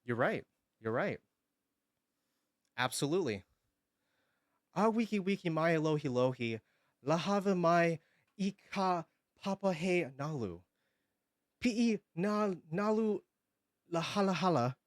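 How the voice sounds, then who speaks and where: A man's voice: fairly high pitch, lively intonation, takes it slowly, pauses often, no echo, studio quality. A man streaming casually, thanking viewers and joking around. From Hawaii. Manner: goofy, joking, slips into singing.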